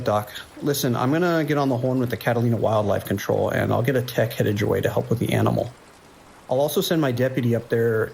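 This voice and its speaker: Man playing a gravelly, no-nonsense police dispatcher. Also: medium pitch, a little hiss or hum, natural voice. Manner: dry, laconic, steady. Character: weary, dry, no-nonsense deputy dispatcher, male. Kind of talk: audio drama phone dialogue.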